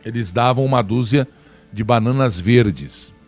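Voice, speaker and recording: A man giving opinionated commentary, slowing down to stress his points with emphatic, deliberate delivery. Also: mid-range voice, faint music behind. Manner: emphatic, slows down to stress words. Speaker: commentator presenting video clips.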